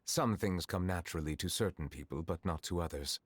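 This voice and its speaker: An actor playing a dignified, aloof man in a fantasy game, speaking formally and gravely, as if giving a lecture. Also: deep voice, fairly quick, a little hiss or hum, natural voice. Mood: formal, composed. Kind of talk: scripted game character dialogue.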